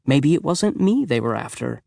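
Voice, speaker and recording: A man's voice: medium pitch, very animated, moderate pace, gravelly, dark tone, dry recording, studio quality. A man narrating a children's book expressively, with a wry, conversational, youthful first-person delivery. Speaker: audiobook narrator.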